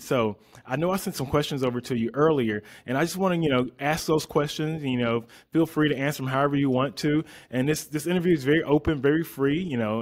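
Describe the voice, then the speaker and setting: An American man in his thirties speaking informally and conversationally as he hosts a casual interview. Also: mid-range voice. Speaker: interview host, vegan chef and influencer. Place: indoor room, remote video call. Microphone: desktop condenser microphone up close.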